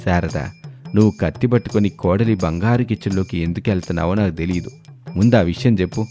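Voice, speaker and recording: A man's voice: low voice, fast, warm tone, faint music behind, close to an everyday voice. A man playing an exasperated, long-suffering middle-aged husband who grumbles and admonishes in a dramatised story. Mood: warning, low.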